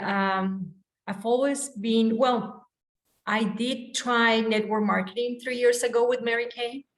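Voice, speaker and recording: A woman speaks earnestly at a middle pitch, her voice bouncing around a roomy, echoey space on a remote call. She laughs lightly between phrases as she tells her story. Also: fairly bright tone. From Costa Rica. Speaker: guest sharing her story.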